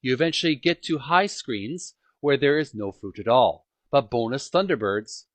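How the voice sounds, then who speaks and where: A man reading a book aloud as an amateur narrator, with emphatic, dramatic phrasing and frequent pauses. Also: medium pitch, animated, no room sound. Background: music.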